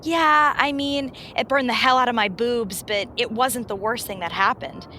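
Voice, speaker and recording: A woman's voice: on the high side, normal speed, sharp tone. Woman acting a flustered romance novelist, noisy recording. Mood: exasperated, embarrassed. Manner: exasperated, emphatic, self-deprecating. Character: flustered, dramatic female romance novelist in her thirties. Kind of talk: radio play dialogue.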